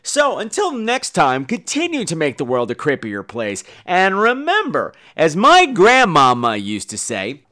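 A man's voice: a little high, huge pitch swings, unhurried, few pauses, pushed and bright. A man hosting a podcast, giving a playful sign-off in a theatrical manner. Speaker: podcast host.

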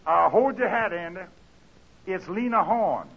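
A man playing an excitable, coaxing male friend in a folksy 1940s radio comedy, on a muffled old recording. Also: high-pitched, a little slow, creaky, husky, exaggerated voice. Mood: coaxing, excited.

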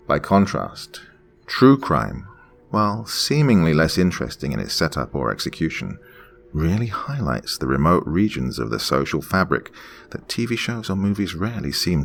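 Male narrator in his forties, deep rough voice with a lively lilt, slow reflective read. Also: a little hiss or hum. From Pennsylvania. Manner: measured, reflective storytelling. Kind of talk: scripted first-person narration.

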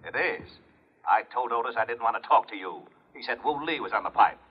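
A man's voice: medium pitch, lively intonation, brisk, husky. A man playing an irritable, exasperated police lieutenant in a radio detective drama. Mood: irritated. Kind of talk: 1950s radio drama acting. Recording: muffled old radio recording.

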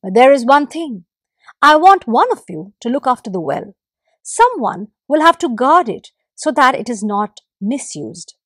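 A woman voicing a kind male creator god who comforts and commands the animals in a warm, reassuring way. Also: high voice, very animated, unhurried, no echo, studio quality, full character voice.

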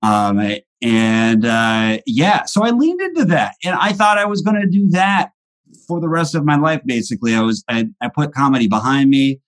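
A man in his thirties telling a long story in casual chat, hesitant and rambling, full of fillers and restarts. His voice sits at an ordinary middle pitch. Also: expressive, normal speed. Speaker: podcast guest.

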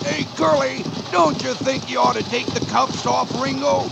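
An American actor playing an excitable, folksy, grumbling stagecoach driver in a 1940s radio western, with a cracking voice and rustic hollering. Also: male voice, very high-pitched, normal speed, full character voice. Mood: panicky. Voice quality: creaky, cracking voice. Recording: very noisy old 1940s radio broadcast.

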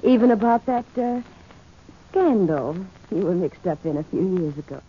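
Actress as a low-voiced, sly radio blackmailer. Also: female voice, expressive, normal speed, a little hiss or hum, natural voice. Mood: coy, mock-friendly. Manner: coy, mock-polite, insinuating. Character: sly smooth female blackmailer posing as detective's wife. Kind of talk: 1940s radio drama acting. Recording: muffled old radio recording.

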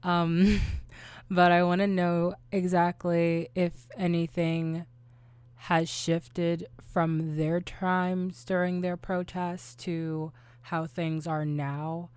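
A woman co-hosting a podcast, asking a question in a measured, careful way. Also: lowish voice, little pitch movement, a little mellow, smooth, no echo. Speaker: podcast co-host. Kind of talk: interview question.

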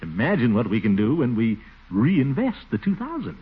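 A man's voice: medium pitch, huge pitch swings, normal speed, breathy edge. An American actor playing a pompous, blustering middle-aged businessman in a 1940s radio comedy, with theatrical exclamations and chuckles. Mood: boastful, enthusiastic. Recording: muffled vintage 1940s radio broadcast.

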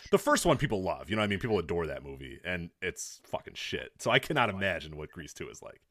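Male podcast co-host for comedic film talk: loose, jokey, sweary delivery, animated swings, very dry close recording. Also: low-pitched, quick pace, no echo. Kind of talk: casual comedic movie chat.

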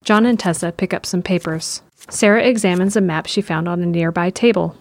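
Woman narrator, lower register, for scripted audio drama storytelling. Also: fairly quick, vocal fry.

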